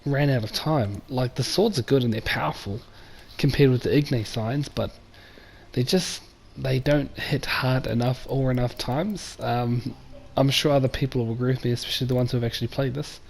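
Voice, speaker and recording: A man giving casual let's-play commentary, croaky after a flu, rambling with many ums. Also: mid-range voice, normal speed. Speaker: gamer.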